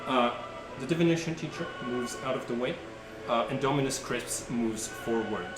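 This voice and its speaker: A man working as game master, improvising tabletop narration in a halting way as he searches for words. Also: mid-range voice. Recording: roomy, distant mic, music behind. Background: music.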